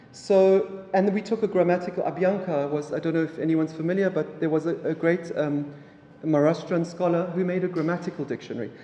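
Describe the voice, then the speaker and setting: A man from South Africa, an Afrikaans speaker, giving a relaxed public lecture with halting phrasing and many fillers. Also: on the high side, muffled recording, a little hiss or hum. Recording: echoey hall, mic from afar.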